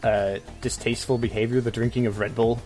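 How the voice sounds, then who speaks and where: A man's voice: medium pitch, little pitch movement, fairly quick, darkish tone, faint music behind. A man co-hosting a podcast in casual, opinionated chat, speaking in a rambling, hesitant way full of filler "like"s. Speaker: podcast co-host.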